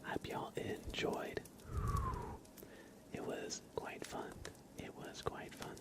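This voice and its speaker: A man hosting a casual ASMR livestream, speaking softly and informally, often slipping into a breathy whisper. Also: slow pace. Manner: relaxed, informal, uses y'all. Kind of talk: casual ASMR stream chat.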